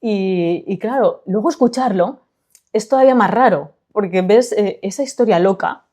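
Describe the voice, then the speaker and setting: Spanish lady from spain, chatty and expressive, soft mellow tone, reads her own story. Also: mid-range voice, normal speed. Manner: lively, expressive, gesturing while talking. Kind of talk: chatty interview then storytelling narration. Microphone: studio microphone.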